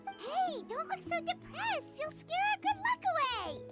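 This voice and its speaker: A tiny flying fairy sidekick with an extremely high, squeaky child's voice. The delivery is perky, cheeky and exclamatory, swinging widely in pitch. Loud game music plays underneath. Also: female voice, sing-song, unhurried, heavily acted. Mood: perky, puzzled. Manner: exclamatory, refers to itself by name. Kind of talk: anime-style video game voice acting.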